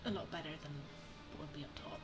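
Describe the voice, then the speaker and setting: A woman's voice: low voice, fast, music in the background. A woman giving casual, chatty gameplay commentary, reacting in short asides. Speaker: game streamer.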